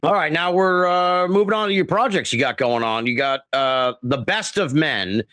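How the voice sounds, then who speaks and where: A man hosting a casual podcast interview, speaking haltingly with repeated words and an enthusiastic manner. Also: fairly high pitch, sharp tone, dry recording.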